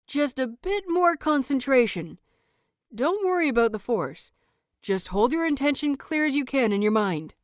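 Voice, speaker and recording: Woman voicing an exuberant, raised-pitch young novices' teacher. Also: normal speed, no echo, clean studio sound. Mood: encouraging. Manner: excited, praising, bouncy. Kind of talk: audiobook character voice.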